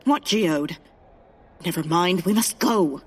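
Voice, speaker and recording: A woman's voice: fairly low pitch, slow pace. A woman playing a dry, formal, puzzled scientist in a comedic audio drama, with faint ambient noise. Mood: dry, unimpressed.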